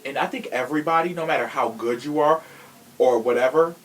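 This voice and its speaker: A man's voice: mid-range voice, fairly quick, a little hiss or hum, rough recording. A man hosting a casual podcast, chatting in a sassy, theatrical way. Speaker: podcast host.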